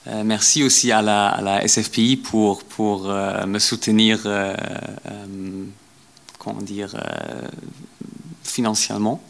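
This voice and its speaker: Low gravelly guy lecturing in his second language, lots of um and repeated words. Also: little pitch movement, fairly bright tone. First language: not French. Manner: halting, many repeated words and fillers. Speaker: guest lecturer. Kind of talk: academic lecture.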